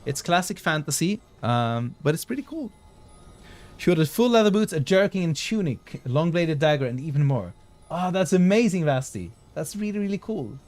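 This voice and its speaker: A man's voice: a little high, sing-song, normal speed, darkish tone, soft background music. A man from southern Sweden, streaming a game and chatting casually with viewers. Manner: chatty, restarts and fillers, reads chat aloud.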